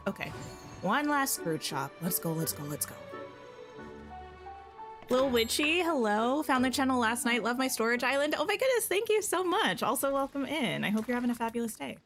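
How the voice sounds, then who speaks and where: A woman live-streaming a game, chatting casually and answering her viewers, her voice slightly scratchy. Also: on the high side. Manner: chatty, greets and answers viewers, thinks aloud. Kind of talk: casual live-stream chat while playing.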